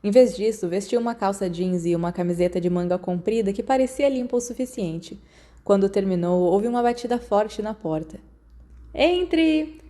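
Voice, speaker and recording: A woman's voice: medium pitch, a little fast, dark tone. A woman with a Brazilian accent reading fiction aloud in a scripted storytelling narration. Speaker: audiobook reader.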